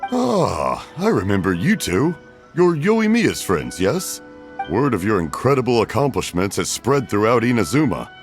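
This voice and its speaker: A man's voice: lowish voice, huge pitch swings, moderate pace. An actor voicing a kindly, genial middle-aged father in a video game. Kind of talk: English video game voice acting. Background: faint game music.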